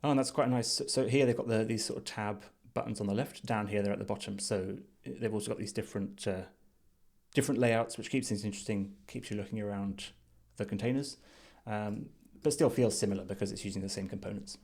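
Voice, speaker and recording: A man with a fairly low voice talks through what is on his screen as a reviewer. His delivery is unscripted and thoughtful, measured as he reasons aloud. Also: fairly even intonation, gravelly, a little mellow. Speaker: design reviewer. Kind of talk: unscripted screen-share commentary.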